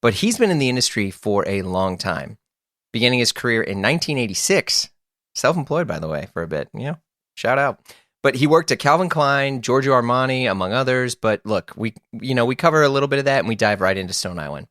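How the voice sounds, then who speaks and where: A man's voice: mid-range voice, animated, a little fast, a bit bright, no echo, clean studio sound. A man hosting a casual podcast interview, enthusiastic, laughing often and restarting his sentences. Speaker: podcast host.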